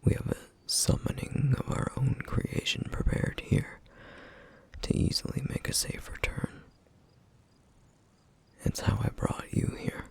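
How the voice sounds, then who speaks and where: A man playing a somber, duty-bound, protective flame demon in an ASMR roleplay, speaking in a hushed, halting, formal way with a rough, breathy voice. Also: natural voice. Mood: grave, hesitant. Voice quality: breathy, husky, rough whisper. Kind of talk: ASMR roleplay, intimate scripted monologue.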